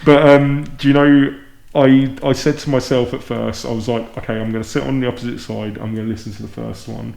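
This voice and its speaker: A man's voice: medium pitch, steady tone, a little fast, creaky, a little mellow. A man with a British accent hosting a casual podcast chat, speaking earnestly and often repeating words for emphasis. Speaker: podcast host. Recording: roomy echo on the voice.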